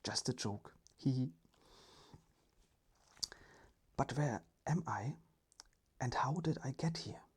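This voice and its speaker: A storyteller voicing a polite, playful little robot in whispered ASMR, with formal, measured phrasing. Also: mid-range voice, a little mellow. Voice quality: breathy, whispery.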